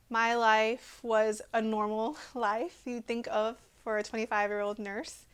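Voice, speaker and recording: A woman's voice: fairly high pitch, clean tone. A woman in her twenties with an American accent, giving a personal testimony to camera in an earnest, hesitant way. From Texas, USA. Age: 20s.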